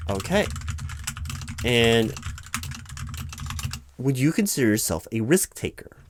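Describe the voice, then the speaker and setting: A man playing a distracted hiring manager in a comedic one-sided job interview, rambling haltingly and repeating himself. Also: medium pitch, big pitch swings, noisy background. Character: distracted male corporate hiring manager, hesitant, patient, mildly baffled. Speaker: roleplay performer as hiring manager. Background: computer keyboard typing.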